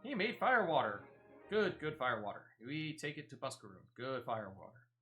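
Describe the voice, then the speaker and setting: Man acting a game creature merchant, eager, broken speech, repetitive, bright and lively. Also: mid-range voice, moderate pace, faint music behind. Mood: grateful, eager. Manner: broken third-person speech, repeating words. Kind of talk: character voice reading video game dialogue.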